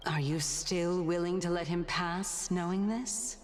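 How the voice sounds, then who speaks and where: An actress playing a composed, watchful and stately woman in a video game, speaking deliberately and formally. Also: on the low side, close to an everyday voice. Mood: wary, grave. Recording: background music behind the voice.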